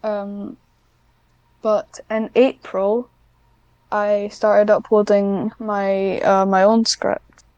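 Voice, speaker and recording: A woman's voice: mid-range voice, flat delivery, drawn-out words, darkish tone, clean tone, no echo, muffled recording. A woman chatting casually as an interview guest, speaking in a hesitant, self-conscious way with frequent restarts. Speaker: podcast guest, scriptwriter.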